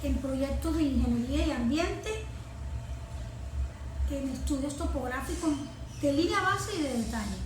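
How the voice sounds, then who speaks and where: Venezuelan woman, high voice, expert interviewee; halting, repetitive delivery in a noisy room. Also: lively intonation. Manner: halting, searching for words, repeating phrases. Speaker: environmental consultant and forestry engineer being interviewed. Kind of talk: interview answers, explanatory talk. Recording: echoey room with clear background noise.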